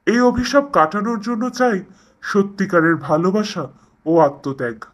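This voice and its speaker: A man's voice: high-pitched, lively intonation, moderate pace. A man playing a sorrowful, earnest young prince under a curse in a cartoon fairytale.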